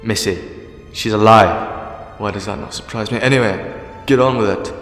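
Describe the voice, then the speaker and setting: Man playing a low-voiced, sardonic, defiant hero. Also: moderate pace, a bit bright, natural voice. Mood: dry defiance. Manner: dry, sarcastic, exasperated. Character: sardonic, defiant, ancient male time-traveller hero, captive. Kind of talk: fan audio drama acting. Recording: echoey long reverb, music behind.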